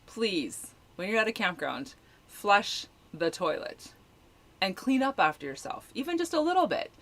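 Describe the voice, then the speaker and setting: A middle-aged woman with a low voice, co-hosting a casual live-stream chat and telling stories with laughter. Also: a little slow. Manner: animated, laughing storyteller. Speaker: co-host and campground staff.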